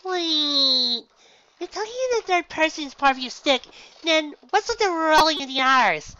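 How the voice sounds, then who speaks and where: A woman voicing a bubbly, excitable young female pony with a squeaky, overeager voice. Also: moderate pace. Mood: cheerful. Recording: dull, dry lo-fi recording.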